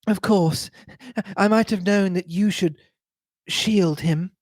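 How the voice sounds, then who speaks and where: Man voicing a tense, high-pitched bitter wife. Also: slow, dry recording, clean studio sound. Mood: rising bitterness. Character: tense married woman, desperately controlled, bitter.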